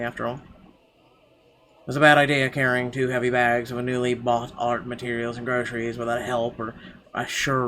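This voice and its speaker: A man's voice: mid-range voice, sharp tone, a little hiss or hum. A man narrating a visual novel as a game streamer, reading expressively with small stumbles and asides. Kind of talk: reading visual novel text aloud, let's play. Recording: game music behind the voice.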